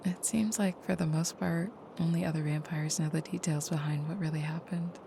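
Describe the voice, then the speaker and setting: Actress as tender vampire girlfriend for comfort roleplay audio: lowish, creaky, hushed and hesitant. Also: steady tone, normal speed, background noise. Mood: hesitant, confiding. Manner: soft, hushed, hesitant, often dropping to a near whisper. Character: gentle, caring vampire girlfriend, soft-spoken and hesitant.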